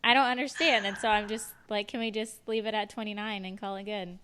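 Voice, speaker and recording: A woman's voice: mid-range voice, steady tone, brisk, background noise. A woman in her late twenties hosting a podcast interview, bubbly and enthusiastic with plenty of fillers. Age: 20s. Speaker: podcast host.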